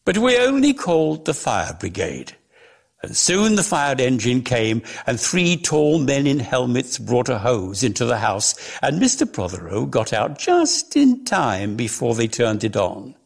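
Husky male dramatic reader, very animated pitch. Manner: measured, theatrical, lingering on words. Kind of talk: dramatic reading of a literary story.

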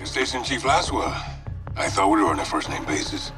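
An actor playing a confident Mexican special forces colonel with a Mexican accent, measured and dry, in a military game. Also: male voice, fairly low pitch, sing-song, music in the background. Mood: cool, wry.

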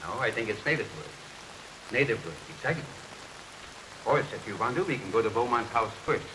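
Hissy old film actor as a feisty old preacher. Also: male voice, medium pitch, animated, moderate pace, natural voice. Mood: firm, deliberate. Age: older. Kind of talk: 1930s film acting. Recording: hissy, noisy 1930s film soundtrack. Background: rain and hiss.

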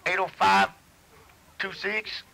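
A man playing a crude, slow-witted, easily irritated redneck stoner on a prank phone call, with folksy drawling, muddled wording and swearing. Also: high-pitched, little pitch movement, vocal fry, bright, forceful tone, full character voice. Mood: easygoing. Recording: noisy telephone line recording.

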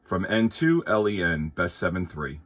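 A man's voice: deep voice, sing-song, moderate pace, noisy background. A man chatting casually and courteously as an amateur radio operator. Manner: courteous, thankful. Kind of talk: casual ham radio chat. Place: indoors at a workbench.

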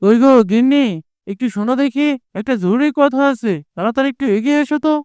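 A man's voice: very high voice, quick pace, a little mellow, clean tone, no echo. A man playing a greedy, domineering village moneylender in a cartoon, bossy and scolding. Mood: grumbling, bossy. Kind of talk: Bengali cartoon voice acting.

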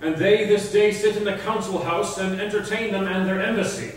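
A man's voice: high voice, fairly even intonation, fairly quick, lightly acted. An actor playing an anxious, deferential townsman bringing news, in earnest classical stage speech. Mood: worried. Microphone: far camera. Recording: echoey hall, distant, some noise.

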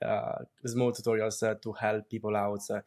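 A young man in his twenties speaks English with an Italian accent. He co-hosts a relaxed podcast from a small room at home. He talks in a friendly way with many filler words, through earbuds on a video call. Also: fairly low pitch, moderate pace. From Italy. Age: 20s. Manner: friendly, lots of filler words and light laughs. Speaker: podcast co-host.

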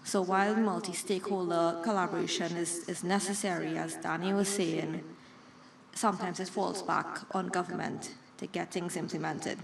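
A woman from Trinidad and Tobago speaking as a government representative on a panel, in a measured way. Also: fairly low pitch, vocal fry, roomy sound, a little hiss or hum. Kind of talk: panel remarks. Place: conference room. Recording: distant mic in conference room.

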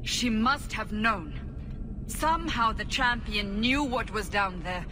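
An actress playing a stern, relentless armoured interrogator in a video game, commanding, clipped and accusing. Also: female voice, a little high, expressive, slow, noisy background. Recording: studio voice over game music.

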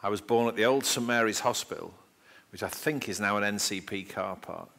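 A middle-aged man with a slightly low but bright, forward voice wanders through stories full of asides and self-deprecating jokes. He gestures as he talks to a live audience. He is picked up close on a headset mic in a small lecture room. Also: brisk, creaky. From England, Manchester area. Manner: rambling, self-deprecating anecdotes with many asides; gestures with his hands while talking. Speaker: speaker at a public talk. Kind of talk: storytelling talk before an audience.

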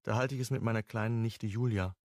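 Man playing a wry sleuth narrating a radio crime play, gravelly and husky, understated, close dry sound. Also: lowish voice, steady tone, fast, dry recording, lightly acted. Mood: pensive. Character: reflective, wry male amateur sleuth narrating his story. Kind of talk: first-person radio crime drama narration.

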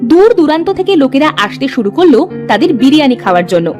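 A woman's voice: high voice, sing-song, fast, a little mellow, faint music behind. A woman narrating a children's cartoon tale in a scripted storybook style.

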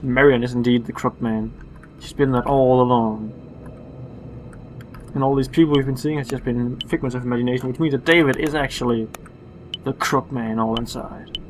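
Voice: mid-range voice, steady tone, normal speed, slight background noise. A let's player giving playful game commentary in a goofy, sarcastic, theatrical way.

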